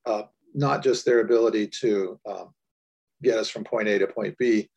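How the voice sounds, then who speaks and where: A man co-hosting a podcast, musing and joking in relaxed conversation. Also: fairly low pitch, moderate pace, vocal fry, highs cut off. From United States. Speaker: podcast co-host. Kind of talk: casual podcast discussion.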